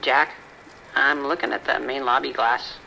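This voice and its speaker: A man's voice: mid-range voice, level tone, moderate pace, creaky, sharp tone, a little hiss or hum, low-quality recording. A man playing an uneasy, bewildered patrol deputy in an audio drama, giving terse radio reports. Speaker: police deputy. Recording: radio calls with rain behind.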